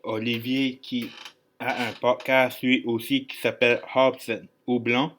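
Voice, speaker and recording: A man's voice: medium pitch, flat delivery, measured pace, a bit bright. A man with an Acadian French accent hosting a solo podcast in an informal, joking, rambling way with bits of English slang. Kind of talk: casual podcast monologue.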